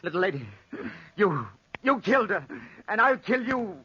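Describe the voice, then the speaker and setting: An actor playing an obsessed, lovelorn soldier in a 1940s radio drama, with a brooding, melodramatic delivery. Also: male voice, high voice, big pitch swings, normal speed, very noisy. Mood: grieving, unhinged. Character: obsessed, lovelorn soldier talking tenderly to a panther. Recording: dull, muffled 1940s radio recording.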